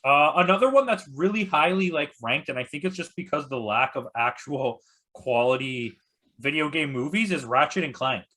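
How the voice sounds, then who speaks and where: A man's voice: fairly high pitch, expressive, moderate pace, sharp tone. A man in his thirties chatting casually as a podcast host, blunt and opinionated, swearing freely. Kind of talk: casual chat.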